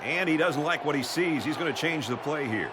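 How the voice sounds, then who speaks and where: A man's voice: a little high, brisk, noisy background, natural voice. A man playing a play-by-play announcer in an American football video game. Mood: focused commentary. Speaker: play-by-play commentator.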